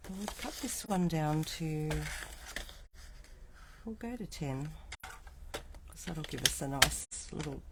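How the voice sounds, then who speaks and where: A woman's voice: deep voice, animated, slow, long pauses. Chatty woman with a scratchy cold. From Australia. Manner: chatty, asides to herself while setting up. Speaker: craft demonstrator. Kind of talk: casual live craft stream.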